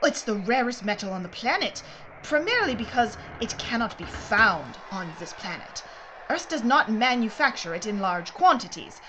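An actress playing a brisk, secretive mining executive in an audio drama, speaking in a precise, formal way. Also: female voice, on the high side, sing-song. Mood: brisk, explanatory. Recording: clear background noise and ambience.

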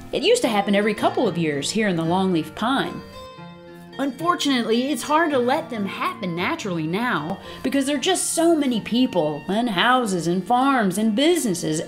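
A woman narrating for children in an upbeat, simple way, as a prescribed burner explaining the forest. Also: a little high. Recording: voice-over over music. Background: background music.

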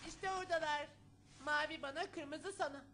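A woman playing an eager, excitable little brother in a dubbed children's cartoon. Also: sits high, moderate pace, noisy background, close to an everyday voice.

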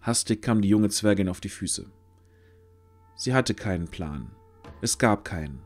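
Low-voiced male fantasy narrator. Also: animated, a little slow. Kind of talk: scripted fantasy audio drama narration. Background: music.

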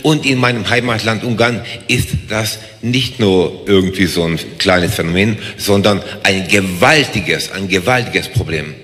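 A man from Hungary, an audience member in a large hall, asking a long earnest question and slowing down on the words he stresses. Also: lowish voice, fairly bright tone. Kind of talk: question from the floor. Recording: echoey hall.

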